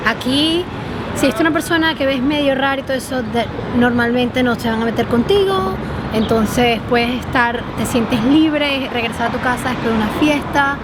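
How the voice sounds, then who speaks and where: A woman from Venezuela, chatting in a lively way outdoors on a city street and mixing in English words. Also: sits high, normal speed. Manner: animated, mixes in English words. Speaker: co-host. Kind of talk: casual chat walking outdoors. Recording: loud street noise behind.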